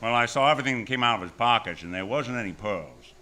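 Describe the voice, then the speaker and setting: A man's voice: mid-range voice, expressive, fast, sharp tone. An actor with an American accent playing a tough, wisecracking hardboiled hero who tells his story in a noir radio drama, wry and terse. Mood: wry probing. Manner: wry, terse, world-weary. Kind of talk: first-person noir radio drama acting.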